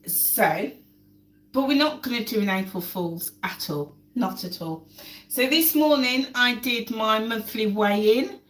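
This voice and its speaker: A woman's voice: on the high side, animated, measured pace, a bit bright. A woman hosting a casual live stream while cooking at home, chatty and repeating words for emphasis, still getting over a cold. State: recovering from a cold, coughing. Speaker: lifestyle channel host. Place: home kitchen. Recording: echoey kitchen, mic at a distance.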